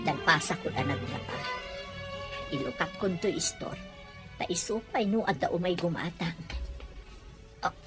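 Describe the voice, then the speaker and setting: A woman acts out a nosy, reproachful village shopkeeper in an audio drama. Her voice swings widely in pitch, with music playing clearly behind her. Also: animated, moderate pace, pauses often, natural voice. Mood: musing. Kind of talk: Ilocano audio drama acting.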